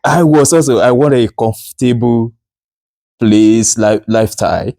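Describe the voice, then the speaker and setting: Hesitant Nigerian-raised man, dark voice. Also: medium pitch, unhurried, no room sound, studio quality. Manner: hesitant, many fillers and restarts, laughs often. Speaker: guest. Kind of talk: casual interview chat.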